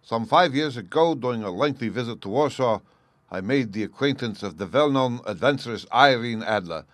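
A man's voice: mid-range voice, expressive, normal speed, fairly bright tone, no room sound, pitched above the performer's own voice, lightly acted. A man voicing a proud, agitated king of about thirty in an audiobook story, with a formal, grandiose and emphatic manner. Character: proud agitated thirty-year-old nobleman, a masked Bohemian king.

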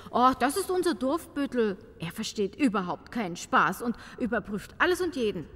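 A woman's voice: on the high side, very animated, normal speed, a bit bright, slightly rough, heavily acted. A woman playing an inquisitive, haughty but polite castle countess in a fairy-tale audio comedy, courtly and exclamatory. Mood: gossipy. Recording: long reverberant hall sound.

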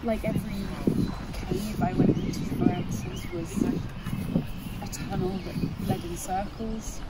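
Loud outdoor city ambience surrounds an actress as a grieving young woman in an audio drama. She speaks haltingly and searches for her words, in an everyday, natural way. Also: mid-range voice, sing-song. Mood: sad, reflective. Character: grieving young woman who lost her mother years ago. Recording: noisy outdoor urban ambience.

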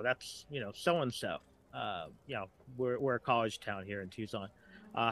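A man's voice: medium pitch, bright, forceful tone, no room sound. A middle-aged man with an American accent talking casually as a podcast guest, thinking aloud in a rambling way.

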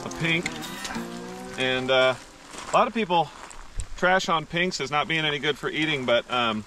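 A man's voice: fairly high pitch, a little slow, over music. A young man hosting a fishing vlog outdoors in the rain, talking casually and cheerfully about the catch. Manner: easygoing, enthusiastic about fish. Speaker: fishing vlogger host.

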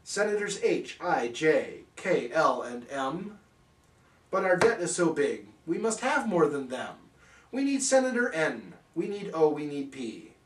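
A man doing an eager, high-pitched scheming senator. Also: very animated, a little slow, echoey room, distant mic, low-quality recording. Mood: determined. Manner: exclaiming, singsong rhyming. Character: eager scheming male senators, tiny storybook helpers.

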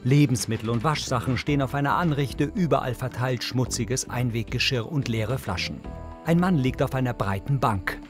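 A man's voice: medium pitch, moderate pace. Male narrator over prominent music. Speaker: audio description narrator. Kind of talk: scripted present-tense scene narration.

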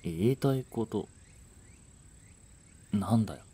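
A man performs a frustrated young boyfriend in a romantic voice drama. He has a soft-edged dark timbre with some huskiness, and his blunt, casual lines swing widely in pitch. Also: animated, slow, breathy edge, full character voice. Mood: irritated, confused. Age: young adult. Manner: blunt casual masculine speech. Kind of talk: romantic audio drama acting.

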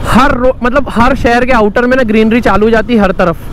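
A man's voice: very high-pitched, steady tone, brisk. A young man giving casual, rambling commentary to viewers while riding a motorcycle outdoors. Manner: chatty, rambling, friendly asides to viewers. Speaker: motovlogger. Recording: wind and traffic noise outdoors.

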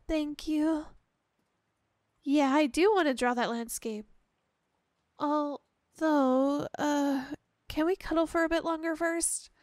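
A woman's voice: high-pitched, takes it slowly, long pauses, a little mellow, no echo, clean studio sound. A woman playing a clingy, insecure, devoted young yandere girlfriend in an ASMR roleplay, hesitant and gushing. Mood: happy, adoring. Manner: gushing, hesitant, breaking off and restarting.

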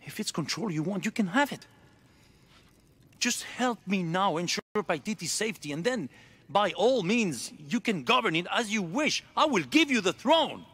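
An actor playing a proud, driven middle-aged cult leader in a video game cutscene, intense and grandiose, moving between hushed and declamatory. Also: male voice, high-pitched, very animated, moderate pace, slightly rough, heavily acted. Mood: pleading.

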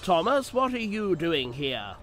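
A man's voice: on the high side, big pitch swings, a little slow, a bit bright. A strict railway controller, played by a man in a fan cartoon, giving orders in a stern, scolding tone, his voice a little raised. Music plays underneath.

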